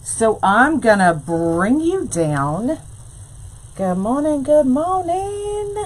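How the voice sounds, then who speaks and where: A woman's voice: high-pitched, sing-song, very slow, slight background noise. A woman, chatting through a live wreath-making demonstration and answering viewers as she works. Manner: chatty, says "y'all", answers live chat. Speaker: wreath-making tutorial host. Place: craft room full of ribbon shelves.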